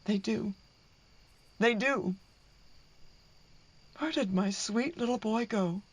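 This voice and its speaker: A woman's voice: on the high side, slow pace. A woman playing a hurt, sarcastic middle-aged wife and mother in a radio play, speaking with open emotion. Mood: bitter, anguished.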